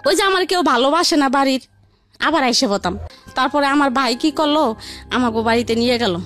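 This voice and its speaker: Woman, high voice, rambling personal storytelling, repetitive phrasing, faint music behind. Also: a little fast, a bit bright. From Barisal region, Bangladesh. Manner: rambling, repetitive, colloquial narration. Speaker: interview guest telling life story.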